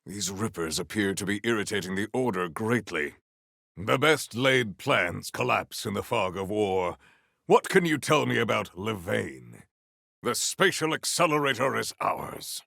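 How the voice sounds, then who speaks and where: A man playing a solemn, proud warrior hunter in a video game, speaking in formal, stilted, declamatory sentences with a gravelly low voice. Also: expressive, unhurried, sharp tone, no echo, studio quality, heavily acted. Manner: formal, stilted, declamatory speech without contractions. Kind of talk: video game character acting.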